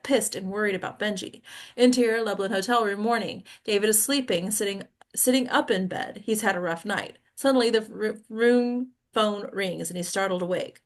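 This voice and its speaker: Female narrator, mid-range pitch, script table read, stage directions, unfussy informal delivery.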